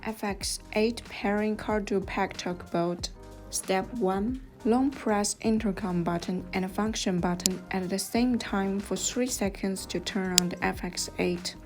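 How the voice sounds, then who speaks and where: A woman reading scripted step-by-step instructions as a tutorial narrator, in a measured, neutral manner. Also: medium pitch, fairly even intonation. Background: music.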